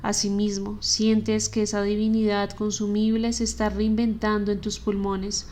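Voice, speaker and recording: Woman, podcast audiobook narrator, flat even delivery, mid pitch. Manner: steady, unhurried reading. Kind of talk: audiobook reading.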